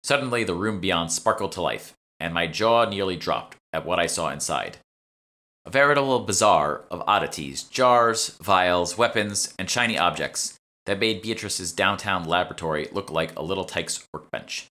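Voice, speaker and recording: A man's voice: low voice, lively intonation, moderate pace, bright, forceful tone. A man reading scripted first-person audiobook narration as the author.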